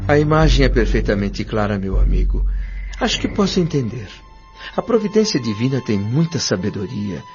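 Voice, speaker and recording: A man's voice: mid-range voice, sing-song, moderate pace, a little mellow. A Brazilian man playing an earnest, inquisitive newcomer to the spirit world in an audio drama, speaking politely with searching hesitation. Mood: curious, reflective. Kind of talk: audio drama acting, questioning dialogue. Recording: dull lo-fi audio over music.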